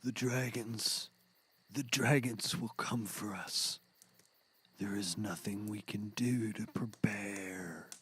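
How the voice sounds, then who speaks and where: A man's voice: fairly low pitch, slow pace, a bit bright, breathy edge, no echo, full character voice. A man playing a foggy, drugged, mystical fellow in a scripted audio comedy, hesitant and rambling in a dreamy way. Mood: ominous.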